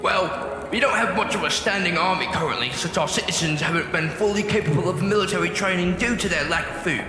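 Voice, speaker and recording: An actor playing a cautious military advisor who explains things deferentially in a fantasy audio drama. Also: male voice, high voice, fast, lightly acted. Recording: echoey hall with music.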